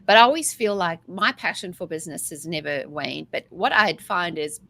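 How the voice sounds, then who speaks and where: Chatty South African woman, lowish voice. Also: moderate pace, gravelly. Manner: chatty, energetic storyteller. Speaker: guest, mindset coach. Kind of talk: casual interview talk.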